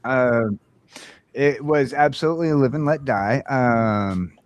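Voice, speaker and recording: A man hosting a podcast, chatting casually in a rambling, halting way with frequent false starts. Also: mid-range voice. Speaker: podcast host. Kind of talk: casual chat about film franchises.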